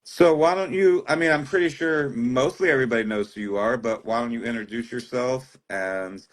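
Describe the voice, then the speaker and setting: A man hosting a livestream, relaxed, friendly and chatty with guests and viewers. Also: medium pitch, moderate pace, low-quality recording. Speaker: livestream host.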